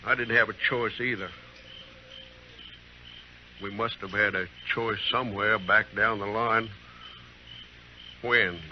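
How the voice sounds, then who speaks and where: A man's voice: on the low side, steady tone, takes it slowly, pauses often, slight background noise. An actor playing an anguished, proud frontier man accused of a crime, plain-spoken and earnest, in a muffled old radio western. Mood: bitter, resigned. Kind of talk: old-time radio acting.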